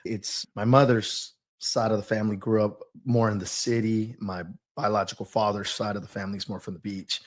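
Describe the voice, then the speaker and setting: A man's voice: fairly low pitch, level tone, a little fast, hardly any pauses. A man from southern Mexico telling his personal story as a podcast guest, reflective, a little nervous and often searching for words. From Oaxaca, southern Mexico. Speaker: guest, youth soccer coach and business owner.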